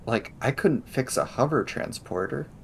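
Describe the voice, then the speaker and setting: A man playing a tabletop role-playing game, thinking things through aloud in casual, halting phrases. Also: medium pitch, expressive, creaky, a little hiss or hum. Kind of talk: casual in-character game discussion.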